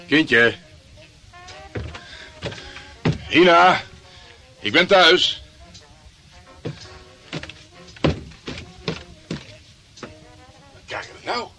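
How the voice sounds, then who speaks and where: A man's voice: a little high, sharp tone, full character voice, rough recording. An actor playing a cocky, crude, womanizing private detective in a Dutch radio play, swaggering and teasing. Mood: rattled, baffled.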